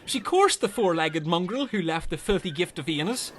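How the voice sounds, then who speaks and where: A man's voice: high-pitched, animated, moderate pace, fairly bright tone. A man playing a dim, chatty, earnest Irish castaway in a comedy radio play, rambling and taking things literally. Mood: chatty, matter-of-fact.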